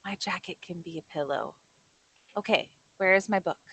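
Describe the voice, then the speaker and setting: A woman's voice: on the low side, moderate pace, a bit bright, slightly rough, heavily acted, low-quality recording. A woman acting a jumpy young woman who mutters to herself while alone, in a horror script table read. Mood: preoccupied. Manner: self-directed muttering.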